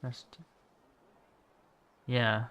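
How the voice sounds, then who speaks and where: A man's voice: very slow, fairly bright tone, slight background noise. A man livestreaming a game, muttering casual reactions and short interjections. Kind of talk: casual reactions while gaming.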